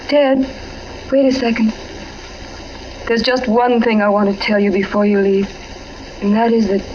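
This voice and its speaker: A woman playing a devoted, knowing wife with quiet foreboding, earnest and intimate, in 1940s radio mystery style. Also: a little high, lightly acted. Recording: muffled, faintly noisy old radio recording.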